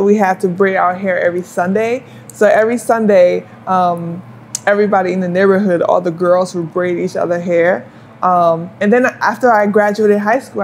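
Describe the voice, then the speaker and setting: A woman's voice: mid-range voice, a little hiss or hum. Liberian-raised woman hairstylist chatting. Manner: warm storytelling with fillers and rhetorical "you know". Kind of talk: casual chat while styling hair.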